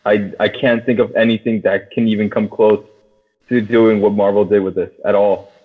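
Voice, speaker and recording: A man's voice: on the low side, flat delivery, normal speed. A young man co-hosting a podcast, chatting casually about film with enthusiastic, slangy, profane talk. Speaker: podcast co-host. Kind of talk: casual film discussion among friends. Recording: muffled phone-like radio sound, long reverb.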